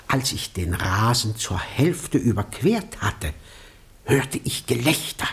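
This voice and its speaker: An actor playing an eccentric, awed eyewitness in a German radio drama, telling of a ghostly sighting haltingly and theatrically, with breathy hushed passages. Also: male voice, mid-range voice, very animated, heavily acted. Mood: dramatic, halting.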